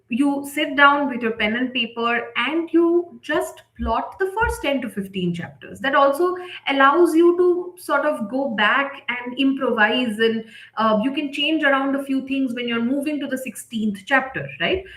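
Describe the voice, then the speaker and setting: High-voiced woman teaching, echoey room. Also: a bit bright. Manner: conversational, often tags sentences with "right?" or "okay?". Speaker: presenter teaching writers in a live session. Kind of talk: instructional webinar talk, explanatory. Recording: echoey, roomy sound.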